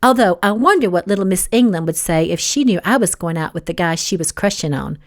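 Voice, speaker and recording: A woman's voice: fairly low pitch, animated, a little fast. A woman voicing a haughty, spiteful young woman in an audiobook, with a snappy, condescending delivery. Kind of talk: audiobook character dialogue.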